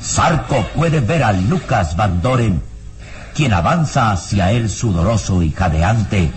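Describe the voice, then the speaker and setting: Ominous, dramatic radio narrator. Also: male voice, fairly low pitch, animated, slow pace. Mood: ominous, suspenseful. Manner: suspenseful, declamatory. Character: solemn, ominous male radio serial narrator. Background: dramatic music.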